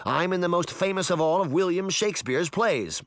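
A man's voice: medium pitch, very animated, a little slow, vocal fry, pushed and bright, no echo, studio quality, full character voice. A man voicing a boastful, indignant fairy trickster boy in a theatrical, crowing way for an audiobook. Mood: indignant, roaring. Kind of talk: audiobook character voice.

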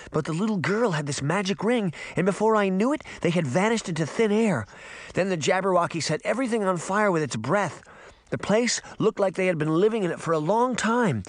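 Preteen girl heroine, male narrator playing her, high, animated, urgent and feisty, for an audiobook. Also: no room sound. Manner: urgent, emphatic. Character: feisty, protective eleven-year-old girl, easily exasperated. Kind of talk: audiobook character acting.

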